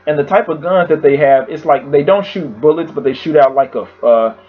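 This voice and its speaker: Hoarse man rambling through a recap. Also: on the high side, fairly quick, phone-quality sound. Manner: rambling, filler-heavy storytelling. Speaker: YouTube reviewer. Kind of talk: casual episode recap. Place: home.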